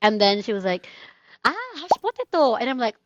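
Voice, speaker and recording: A woman co-hosting a casual chat podcast, telling animated anecdotes, acting out quoted voices and laughing often. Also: on the high side, a little fast, no echo, dull audio. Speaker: podcast co-host.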